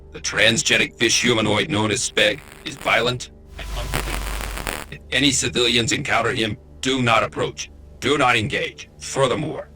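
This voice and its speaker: A man's voice: medium pitch, bright, forceful tone, poor audio. A man playing a ship's acting captain, giving a stern, formal recorded warning. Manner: stern, official.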